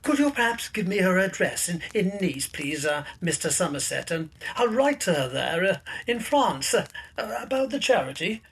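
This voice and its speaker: A woman's voice: lowish voice, big pitch swings, moderate pace, few pauses, breathy edge, exaggerated voice, poor audio. A woman playing a fussy, anxious Baptist minister in a period audio drama, hesitant and polite. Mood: worried, confiding. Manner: hesitant, dithering, polite, murmuring little ums.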